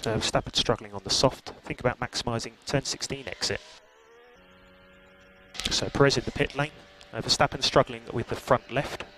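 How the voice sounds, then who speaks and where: A man working as a race engineer, calmly reading out gaps and lap times over team radio. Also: medium pitch, moderate pace. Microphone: headset radio. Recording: noisy team radio line.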